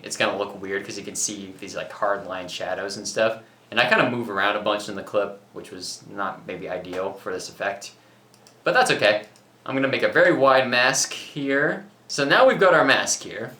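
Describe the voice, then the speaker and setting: Young male tutorial co-host, bright and punchy, goofy asides, echoey small studio. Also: on the low side. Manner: jokey, self-mocking, talks through steps. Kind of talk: casual software tutorial with banter. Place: small home recording studio.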